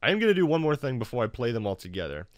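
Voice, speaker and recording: A man hosting a casual software tutorial in an informal, wry, self-deprecating way. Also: medium pitch, big pitch swings, racing, no echo, clean studio sound. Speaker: tutorial host.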